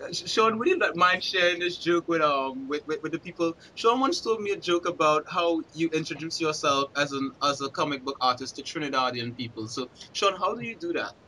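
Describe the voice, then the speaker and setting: Bright-voiced man hosting a podcast. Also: fairly high pitch, expressive, a little fast, few pauses, slight background noise, rough recording. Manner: rambling, lots of fillers and restarts. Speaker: podcast host. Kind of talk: casual chat.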